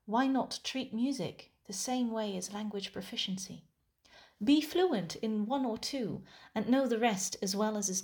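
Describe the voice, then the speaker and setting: A woman whose first language is Dutch, a musician giving a calm, thoughtful scripted monologue. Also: mid-range voice.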